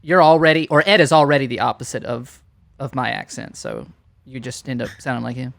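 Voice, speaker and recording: Teasing Southern host on a dry mic. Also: male voice, medium pitch, vocal fry, fairly bright tone, no echo. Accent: American Southern. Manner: teasing, enthusiastic, quick to joke. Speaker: stream host. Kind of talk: casual chat on a live stream.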